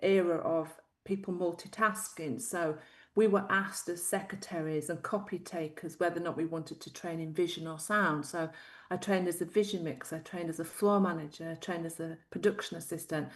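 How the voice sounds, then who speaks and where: Woman, Yorkshire accent, middle-aged, slightly low pitch, reflective, laughs often. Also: steady tone, moderate pace. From Yorkshire, England. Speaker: guest. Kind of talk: interview storytelling.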